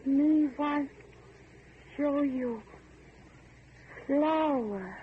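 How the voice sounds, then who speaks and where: A simple, childlike workman speaks haltingly in a very high, gentle voice. His broken phrases come through a phone-like old radio recording with faint noise. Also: heavily acted. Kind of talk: old-time radio drama acting. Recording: tinny, faintly noisy vintage radio recording.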